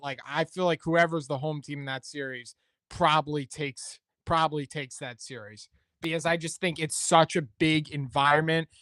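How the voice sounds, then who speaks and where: A man's voice: fairly high pitch, a bit bright, dry recording. A man hosting a sports talk show, chatting casually and thinking aloud as he weighs his opinions. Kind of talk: casual sports commentary chat.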